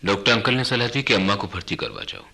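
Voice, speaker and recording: A man playing a worried, dutiful clerk in a radio drama, earnest and respectful, with a husky voice. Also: lowish voice, fast, pushed and bright. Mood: explaining. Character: worried, dutiful clerk son of an ailing mother. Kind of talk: Hindi radio drama acting.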